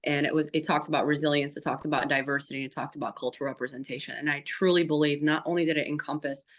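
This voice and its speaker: A woman's voice: low voice, fairly even intonation, racing. A young woman from the Chicago area, a police detective, giving an earnest, passionate interview answer and often restarting her phrases. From Chicago area, USA.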